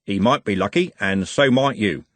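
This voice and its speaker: A patient middle-aged constable, as a male reader puts him on, speaking in an even, unhurried way that stays quietly firm. The voice has a slightly husky grain and sits in a dry, close recording. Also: lowish voice, dry recording. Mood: calm.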